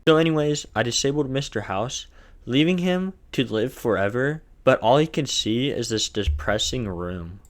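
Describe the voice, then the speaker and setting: A man's voice: mid-range voice, expressive, moderate pace. A man with a lisp narrating a video game challenge run in a casual, crude, jokey way.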